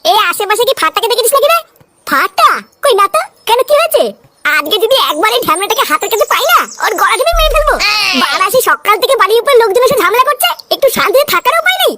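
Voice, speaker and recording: A performer voicing a scrappy, foul-mouthed village cartoon character with a squeaky, childlike voice and a cheeky, scolding manner. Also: big pitch swings, fast, very noisy. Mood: angry, grumbling. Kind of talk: cartoon comedy dubbing. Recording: music behind the voice.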